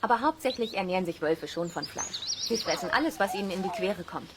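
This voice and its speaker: Lively, low-voiced wolf keeper played by a woman. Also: quick pace, close to an everyday voice. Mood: friendly, instructive. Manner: patient, lightly amused explaining. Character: friendly, knowledgeable woman who looks after wolves. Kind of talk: children's radio play dialogue. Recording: faint cassette noise.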